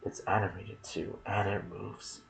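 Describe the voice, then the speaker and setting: A soft-spoken man hosting an ASMR video about game lore, with a hushed delivery that slips into whispers. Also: on the low side, level tone, unhurried, vocal fry, low-quality recording. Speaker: video host and gaming content creator.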